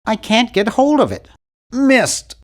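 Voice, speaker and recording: A man's voice: extremely high pitch, big pitch swings, slow pace, heavily acted. A man voicing a nerdy, timid, fussy young hero of a cartoon adventure game, with a prim, wry, bookish delivery. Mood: flustered. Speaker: game character voice actor.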